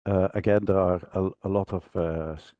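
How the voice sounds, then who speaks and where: A man giving an unscripted technical walkthrough as a product trainer, speaking haltingly in fragments, often hesitating and searching for words. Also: deep voice, steady tone. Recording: dull, roomy online presentation audio.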